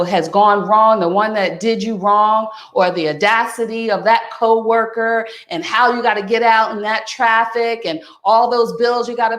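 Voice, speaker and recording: A woman in her fifties giving a motivational wellness talk, speaking warmly and directly to the listener as "my friend" and "honey.". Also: a little high. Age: 50s. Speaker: wellness coach. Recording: echoey room.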